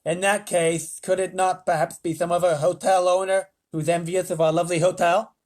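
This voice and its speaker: A man reading aloud and voicing an excitable, bossy male president who shouts his questions. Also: high voice, moderate pace, lightly acted. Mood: eager. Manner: shouting, eager.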